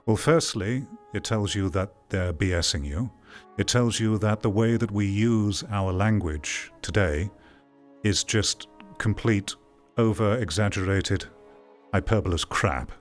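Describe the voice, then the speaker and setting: A man's voice: on the low side, a little slow, soft background music. A man giving off-the-cuff, opinionated critical commentary as a streamer, stressing points by repeating phrases. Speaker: streamer hosting a VR gaming commentary show.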